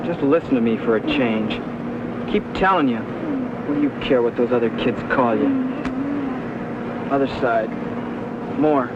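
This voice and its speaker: A man's voice: medium pitch, measured pace, pauses often, lightly acted. A young man playing a plainspoken, bossy but caring teenage big brother in a 1950s TV drama. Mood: caring, exasperated. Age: teens. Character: protective, scolding sixteen-year-old big brother. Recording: muffled vintage soundtrack, very noisy.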